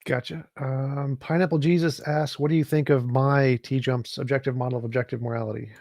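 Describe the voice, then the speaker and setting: A man in a casual podcast conversation who explains his views in a relaxed way and reads out viewer questions. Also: mid-range voice, brisk, dry recording, clean studio sound. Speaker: podcast guest reading chat questions.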